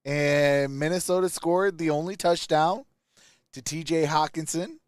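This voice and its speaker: A man hosting a sports livestream, giving casual post-game commentary to viewers in a halting, conversational way. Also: fairly high pitch, clean tone, no echo, studio quality.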